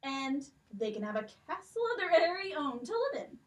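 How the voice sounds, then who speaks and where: A woman's voice: sits high, big pitch swings, normal speed, recorded from a distance. A woman playing a curious, scheming old king in a children's puppet show, speaking stately and questioning.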